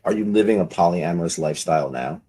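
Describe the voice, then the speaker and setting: A man in his forties hosting an interview, talking in a rambling, halting way with many fillers. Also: deep voice, dark tone, breathy edge. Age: 40s. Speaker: host. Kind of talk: podcast interview.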